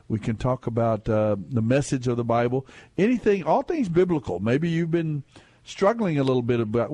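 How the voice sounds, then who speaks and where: A man's voice: medium pitch, mellow, dry recording. Folksy radio host guy, rambles a lot, dry close studio sound. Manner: rambling, folksy, restarts and fillers, laughs easily. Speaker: radio quiz show host. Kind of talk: casual call-in radio talk.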